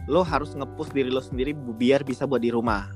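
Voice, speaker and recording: A man's voice: medium pitch, fairly even intonation, fast, soft background music. A young man from Palembang, co-hosting a casual chat podcast and telling stories with frequent laughs. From Palembang, Indonesia. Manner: chatty storyteller, laughs often. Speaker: podcast co-host.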